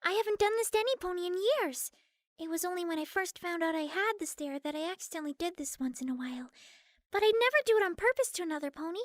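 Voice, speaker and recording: A woman voicing a gentle, timid young cartoon pegasus pony in a hesitant, earnest way. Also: very high-pitched, lively intonation, no echo, studio quality. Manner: hesitant, halting, softly earnest.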